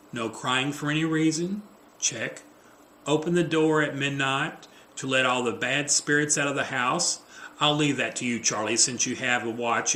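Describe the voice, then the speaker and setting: A man voicing a bossy, superstitious, excitable wife in a play, fretful, insistent and melodramatic. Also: mid-range voice, roomy sound, slight background noise.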